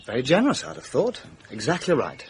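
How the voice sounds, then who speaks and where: A torn lovesick young guy in a radio drama, super swoopy pitch, bit of noise behind. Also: huge pitch swings, quick pace. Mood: proud, bitter. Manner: hesitant, emotional. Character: young man, lovesick, confused, guilty and impulsive. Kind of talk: British radio mystery drama acting.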